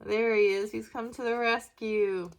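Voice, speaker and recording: A woman narrating a children's picture book in an expressive way, with question-asking asides to young listeners. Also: a little high, measured pace. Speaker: children's story reader.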